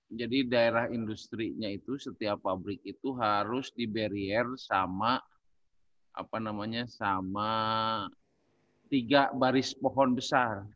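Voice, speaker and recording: Male panelist, low voice, halting and thoughtful conversational delivery, close dry sound. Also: a bit bright, no room sound, muffled recording. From Indonesia. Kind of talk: casual discussion, thinking aloud.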